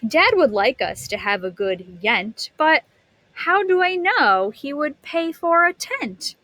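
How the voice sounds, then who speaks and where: Female kindergarten teacher, higher voice, unhurried expressive storytime delivery with drawn-out words, dry close sound. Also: no room sound. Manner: expressive, drawn-out words, gasps, questions to listeners. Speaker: kindergarten teacher reading aloud. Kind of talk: animated read-aloud to young children.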